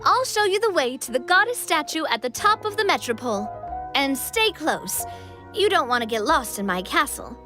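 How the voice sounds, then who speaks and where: A woman's voice: sits high, very animated, normal speed, over music. A woman voicing a cheerful, friendly young storybook king in a game. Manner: upbeat, friendly, reassuring. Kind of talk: game voice acting.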